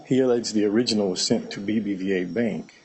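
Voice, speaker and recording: A man's voice: on the low side, quick pace, darkish tone. A man arguing his case in a courtroom and questioning a witness, insistent as he explains. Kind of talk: courtroom argument.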